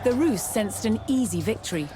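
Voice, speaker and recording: A woman delivering scripted documentary narration in a measured, dramatic storytelling manner. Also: fairly high pitch, expressive. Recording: music under the voice. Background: background music.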